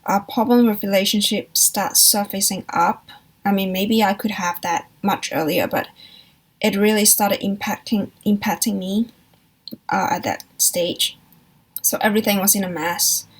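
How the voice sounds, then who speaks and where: Soft, hesitant young woman. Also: medium pitch, fairly even intonation, rough recording. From Hong Kong. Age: young adult. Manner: soft, hesitant, reflective, with frequent pauses and restarts. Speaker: vlogger. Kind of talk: personal confessional vlog.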